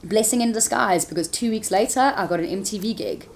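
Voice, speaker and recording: A woman's voice: medium pitch, a little fast. A woman, a TV presenter answering interview questions frankly, with laughing asides. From South Africa.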